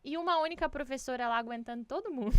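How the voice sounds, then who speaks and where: Female Brazilian podcast guest, higher register, casual but emphatic opinions, dry and close recording. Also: lively intonation, fast, smooth, no room sound.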